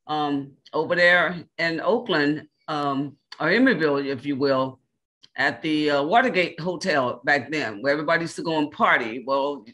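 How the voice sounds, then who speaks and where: Bright-voiced woman, conversational and halting. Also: low voice. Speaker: panelist. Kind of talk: conversational panel discussion. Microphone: video call.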